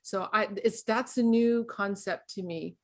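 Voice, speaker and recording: Woman, mid-pitched, hesitant and apologetic in her phrasing. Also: unhurried. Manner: tentative, hesitant. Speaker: workshop participant. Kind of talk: casual discussion.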